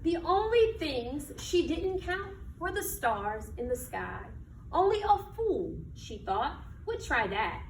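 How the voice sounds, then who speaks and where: An American woman reading a picture book aloud to children like a teacher, with expressive, emphatic delivery and questions to her listeners. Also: high voice, slow pace. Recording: echoey room, distant mic, background noise.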